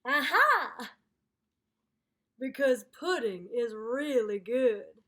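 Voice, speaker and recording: A woman voicing a pesky, excitable chicken narrator in a picture book, fussy, theatrical and coy. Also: on the high side, extremely slow, heavily acted. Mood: excited. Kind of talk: picture book read-aloud.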